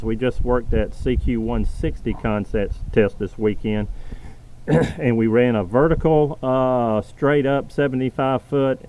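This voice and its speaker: A man's voice: mid-range voice, a little mellow, slight background noise, low-quality recording. Southern man, casual radio chatter. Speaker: amateur radio operator. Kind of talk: casual ham radio chat.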